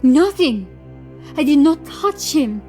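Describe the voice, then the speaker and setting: Margot, a haughty, indignant prioress, voiced by a narrator. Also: female voice, high-pitched, sing-song, takes it slowly, darkish tone, soft background music, voice pushed up, exaggerated voice. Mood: flustered, demanding. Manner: haughty, emphatic. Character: Margot, stern, indignant prioress, defensive woman.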